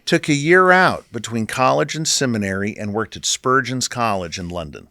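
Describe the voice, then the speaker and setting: A man's voice: medium pitch, normal speed, no room sound. A middle-aged man from Northern California speaking as a ministry leader in a casual, earnest interview. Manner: earnest, animated storyteller.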